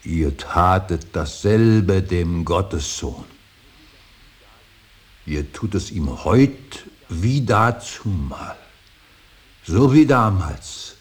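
An actor playing an older, grieving father in a radio drama, brooding and solemn, with halting, weighty declamation that often sinks into breathy whispers. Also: deep voice, heavily acted. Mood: fervent, exalted. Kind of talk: German radio drama acting, solemn monologue. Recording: hissy, faintly noisy radio recording.

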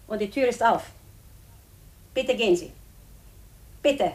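Middle-aged aunt, fairly high voice, haughty, defiant, cutting, theatrical 1950s radio acting. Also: female voice, slow, a little mellow. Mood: scornful, defiant. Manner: imperious, biting, theatrical. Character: proud, scornful, defiant aunt accused of murder. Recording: 1950s radio drama recording.

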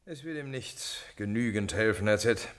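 A calm police detective in middle age played by a male actor in a radio drama. He questions people in a slightly low, measured voice, hesitating now and then, with dry irony. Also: lightly acted. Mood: matter-of-fact. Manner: measured, dryly ironic, hesitating. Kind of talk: German radio drama acting.

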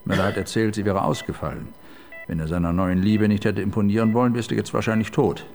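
An actor in a radio crime play voicing the detective's teasing, playful partner. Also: male voice, fairly low pitch, fairly even intonation, fast talker, a little mellow, a little hiss or hum, close to an everyday voice. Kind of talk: radio crime drama acting. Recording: radio play with faint music.

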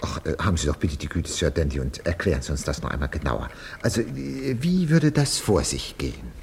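An actor playing a fussy, melodramatic announcer in a 1950s German radio play, narrating with mock solemnity. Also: male voice, a bass voice, big pitch swings, brisk, vocal fry, heavily acted. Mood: flustered, polite. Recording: 1950s radio recording, faint noise.

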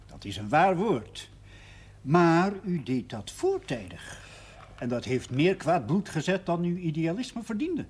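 An actor playing a tactful yet pointed village schoolmaster, courteous and husky, in a 1970s Dutch television drama. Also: male voice, fairly high pitch, big pitch swings, full character voice. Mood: pointed, reasoning. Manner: courteous, deliberate.

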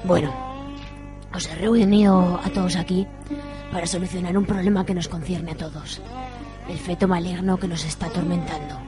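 A woman's voice: lowish voice, fairly even intonation, normal speed, music in the background, natural voice. A woman acting a bossy, exasperated mother and amateur sorceress, commanding and theatrical, in an amateur radio soap opera.

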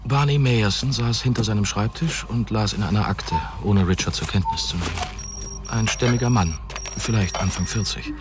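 A man's voice: fairly low pitch, moderate pace, over music. A man narrating a scripted science fiction radio drama in a composed, literary manner.

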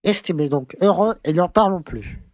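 An actor playing a pompous, grumbling conservative small-town mayor who lectures and scolds in a French audio drama. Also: male voice, high voice, expressive, normal speed, a little mellow, no echo. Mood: scolding.

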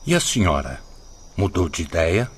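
A low, husky courteous emissary. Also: male voice, big pitch swings, normal speed, heavily acted. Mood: gracious, resigned. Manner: formal, gracious. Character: understanding, courteous male spirit emissary. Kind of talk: radio drama acting.

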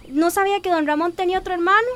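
A chatty young Central American kitchen helper. Her voice is high and guileless, full of curiosity, in the style of a radio serial with slight noise underneath. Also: fairly even intonation, rapid-fire, fairly bright tone. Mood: curious, gossipy. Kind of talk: radio drama acting.

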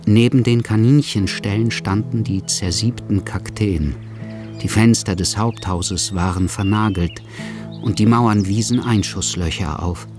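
A man narrates a radio drama in a low-pitched voice. The delivery is scripted storytelling. Music runs clearly beneath his words. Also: a little slow. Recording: studio production with music underneath.

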